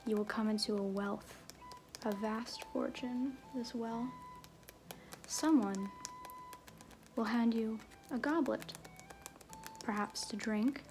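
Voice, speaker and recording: A woman playing a flirty, glib circus fool in an ASMR roleplay, half-whispering with halting, offhand, teasing asides. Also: fairly high pitch, a little mellow, a little hiss or hum. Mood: mysterious, hushed. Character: flirty, glib circus fool and sham fortune-teller, playfully evasive.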